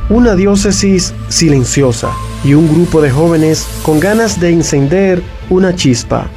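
A man reading a scripted radio story in a measured, storytelling way. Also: a little high, mellow. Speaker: radio reporter narrating. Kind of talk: scripted narration. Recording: radio feature with music underneath.

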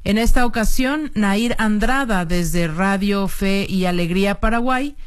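Steady, formal radio host. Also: medium pitch, measured pace. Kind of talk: radio announcing.

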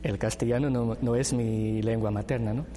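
Filipino-accented man, mellow and reverberant. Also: medium pitch, fairly even intonation, normal speed. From Philippines. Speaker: panel guest. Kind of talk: discussion panel. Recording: reverberant room with faint noise.

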